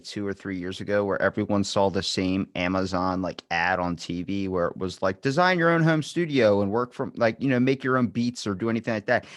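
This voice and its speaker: A man's voice: lowish voice, no room sound, studio quality. A man with a Maryland accent from near Baltimore, hosting a casual chat in a rambling, enthusiastic way. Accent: Maryland, Baltimore area. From Ocean City, Maryland, USA. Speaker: podcast host, interviewer.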